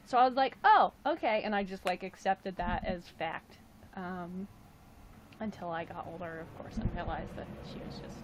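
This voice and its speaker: A woman in her late twenties hosting a casual livestream, chatting and joking with viewers. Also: background noise. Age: 20s. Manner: chatty, jokey, with playful high exclamations. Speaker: livestream host. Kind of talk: casual livestream chat reading viewer comments.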